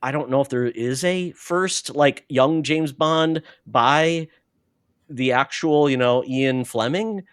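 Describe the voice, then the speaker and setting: A man's voice: mid-range voice, takes it slowly, smooth, no room sound. Man in dry, casual podcast chat. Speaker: podcast co-host. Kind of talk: casual movie news chat.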